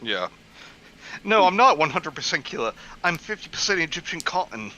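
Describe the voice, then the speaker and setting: A man's voice: on the high side, big pitch swings, normal speed, pushed and bright, low-quality recording. A man in a casual group chat, co-commentating with snarky, self-deprecating jokes.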